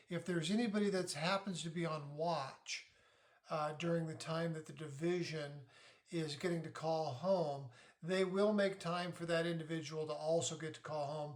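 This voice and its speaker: A middle-aged man giving an informal explainer as a parent sharing advice, deliberate and methodical in how he lays things out. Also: fairly high pitch. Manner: deliberate, methodical, poses questions then answers them.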